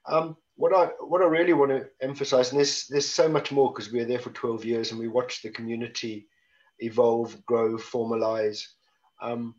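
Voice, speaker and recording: A man's voice: mid-range voice, steady tone, moderate pace. A middle-aged man with a South African accent, speaking as a guest on an online panel in a wry, conversational way with frequent restarts. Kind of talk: reflective panel talk. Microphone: video call. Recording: dull lo-fi video call audio.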